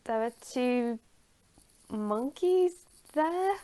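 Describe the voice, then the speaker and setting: A woman retelling a story from memory in a halting, hesitant way, searching for her words. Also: fairly high pitch, animated, dark tone.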